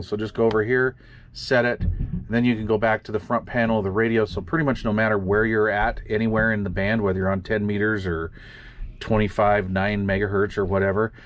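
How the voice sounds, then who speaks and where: A man casually walking through radio software in a makeshift home studio, often trailing off and muttering to himself as he tinkers. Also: on the low side. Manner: muttering to himself while fiddling with gear, many fillers. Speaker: radio hobby video host. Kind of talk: casual hands-on demonstration.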